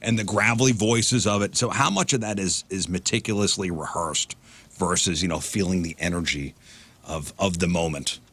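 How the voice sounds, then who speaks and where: A man's voice: deep voice, normal speed, a bit bright, slightly rough. A man hosting a radio show, asking long, wordy questions with frequent restarts. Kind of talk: studio interview questions.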